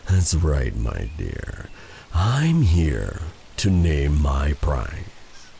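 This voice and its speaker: A vengeful dark sorcerer for a fantasy audio roleplay. His voice is extremely deep and gravelly with creak. He draws out his words very slowly, silky and taunting, with purred menace. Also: big pitch swings, a little hiss or hum, heavily acted. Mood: smug, teasing. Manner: taunting, theatrical, drawn-out delivery with purred endearments.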